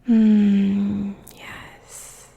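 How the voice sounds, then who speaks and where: Breathy, slow-whispering demon succubus queen played by a woman. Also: medium pitch, completely flat delivery, mellow, lo-fi sound, heavily acted. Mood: hungry, sensual. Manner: slow, teasing, sensual, savouring words. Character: seductive demon succubus queen, possessive and gloating. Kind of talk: whispered roleplay audio monologue.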